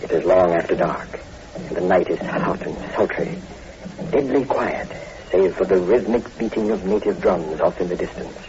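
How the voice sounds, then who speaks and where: An actor playing a mysterious horror host, narrating solemnly and theatrically in 1940s radio drama style. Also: male voice, low voice, moderate pace, noisy background, close to an everyday voice. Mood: grave, suspenseful. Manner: solemn, ominous, theatrical, formal. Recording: muffled old radio recording, faint music.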